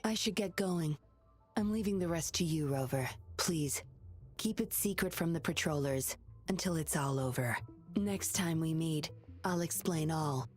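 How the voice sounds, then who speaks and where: Mysterious ex-patroller woman, lowish voice, solemn, firm, composed, dramatic video game acting. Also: measured pace, faint music behind. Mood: guarded. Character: mysterious, resolute former patroller woman, cool and secretive.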